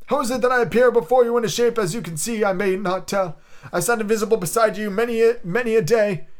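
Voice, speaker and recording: A man voicing a tormented old male ghost in chains, speaking in a mournful, drawn-out lament. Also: sits high, pitched above the performer's own voice, lightly acted. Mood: solemn warning. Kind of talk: audiobook character reading.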